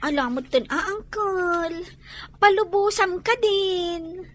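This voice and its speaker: A woman plays a persuasive town shopkeeper with a strikingly high voice. The character is a concerned cousin in a comedy drama, always coaxing and caring. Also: slow pace, a bit bright, exaggerated voice. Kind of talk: Ilocano comedy-drama voice acting.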